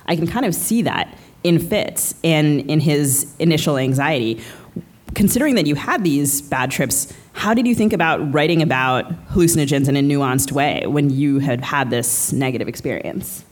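A woman's voice: low voice, animated, moderate pace. A woman co-hosting a live podcast interview, asking long, thoughtful questions in a conversational way. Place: book fair event before an audience.

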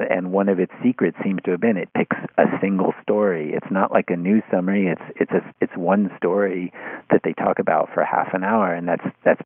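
A man giving conversational commentary as an interview guest, haltingly searching for words and repeating them as he goes. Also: low voice, breathy edge, no room sound. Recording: tinny phone-like line.

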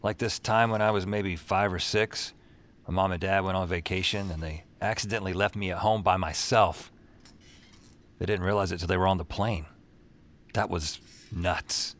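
A man's voice: deep voice, fairly even intonation, moderate pace, a bit bright. An actor playing a wry, self-deprecating young man who narrates his bewildering adventures in an audio drama. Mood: reminiscing. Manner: casual, wry, colloquial. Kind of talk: audio fiction acting with first-person narration.

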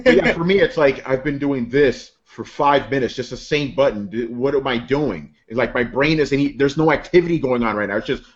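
A man with a New York accent chats on a podcast in a wry, offhand way, tossing in jokes and the odd swear. He sits at a middling pitch. The recording is dull and lo-fi. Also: fairly quick, hardly any pauses. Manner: wry, swears casually, jokey asides. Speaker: podcast co-host. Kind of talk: casual chat.

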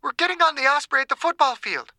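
Urgent female scientist in an outbreak thriller, played by an actress: desperate, blunt, high and sharp, closely dry-recorded. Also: lively intonation, fast, no room sound. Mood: desperate, insistent. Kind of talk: dramatised audiobook acting.

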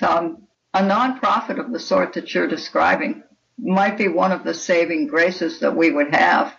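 A woman's voice: lowish voice, vocal fry. An older woman speaking as an interview guest, giving opinionated, emphatic answers over slightly muffled, distant audio. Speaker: guest writer and anthology editor. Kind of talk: interview conversation.

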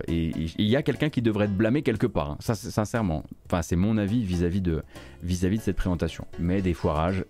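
Deep, bright-voiced man, emphatic. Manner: emphatic, repeats words for stress. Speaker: host of a video game news stream. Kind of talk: live news recap with opinion commentary.

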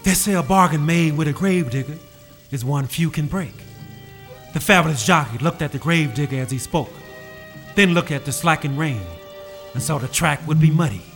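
A man narrating a story in a measured, solemn, evocative way. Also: on the high side. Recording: narration over clear music. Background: music and rain.

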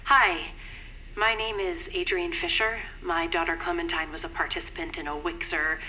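A woman's voice: fairly low pitch, fairly even intonation, pushed and bright, a little hiss or hum, poor audio. A woman playing an anxious, grieving middle-aged mother in an audio drama, polite and hesitant. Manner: hesitant, careful, halting. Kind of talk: naturalistic audio drama acting.

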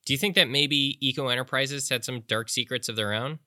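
An actor playing a probing, measured public radio journalist in an audio drama, interviewing and narrating documentary style. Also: male voice, mid-range voice, pushed and bright, dry recording, studio quality. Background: instrumental music.

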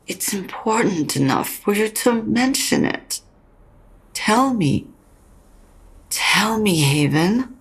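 An actress playing a frank, consoling older mother near death in an audio drama, speaking slowly and haltingly with a husky, creaky voice. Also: medium pitch, lively intonation, heavily acted. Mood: weary, insistent. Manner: slow, halting, probing. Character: dying mother, frank, consoling, stubbornly insistent.

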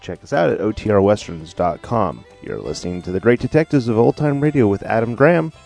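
A man's voice: on the low side, animated, fairly quick, darkish tone. A man delivering a read podcast announcement, with guitar music playing under his voice. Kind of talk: promo announcement. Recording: gravelly voice over guitar music.

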